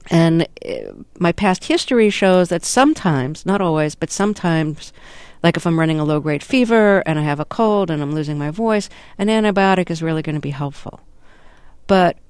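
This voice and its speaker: A woman's voice: fairly low pitch, a little mellow, clean tone, no room sound. A woman interviewer who has a cold, asking curious, conversational questions with small hesitations. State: has a cold and losing her voice. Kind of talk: radio interview. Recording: dry close-mic studio sound.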